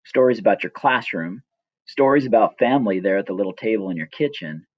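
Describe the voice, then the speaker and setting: A middle-aged man reading his own personal story as scripted narration, reflective, measured and gently wry. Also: on the low side, expressive, no echo, muffled recording.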